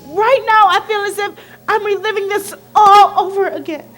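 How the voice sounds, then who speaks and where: A woman's voice: very high-pitched, fairly even intonation, moderate pace, a bit bright. A woman acting a protective teenage best friend in an emotional stage drama, imploring and emphatic. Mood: earnest, urging. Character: earnest, protective teenage best friend, a girl who survived similar hurt. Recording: faint music behind the voice.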